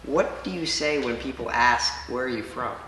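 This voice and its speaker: Bright-voiced young male host, echoey. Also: mid-range voice, normal speed, slight background noise. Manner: upbeat, scripted introductions. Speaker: student show host. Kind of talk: student TV interview hosting. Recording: echoey room.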